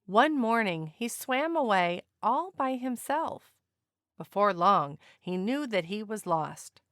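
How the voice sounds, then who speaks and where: A woman narrating a children's picture book aloud in an expressive, deliberate storytelling manner. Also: mid-range voice, no room sound, studio quality.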